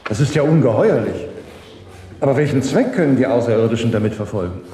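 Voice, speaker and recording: An actor playing an indignant questioner challenging an official in a science fiction radio drama. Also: male voice, medium pitch, lively intonation, fast talker, mellow, a little hiss or hum, close to an everyday voice. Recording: echoey hall with long reverb.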